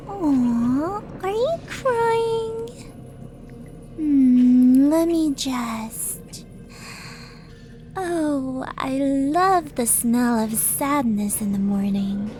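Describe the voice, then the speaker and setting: A woman acts out a flirtatious, cruel demon princess with a high-pitched voice. She takes her time, taunting with theatrical, mock-sweet relish. Clear music plays behind her in the style of an ASMR audio drama. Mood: mocking, gloating. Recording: music under the voice.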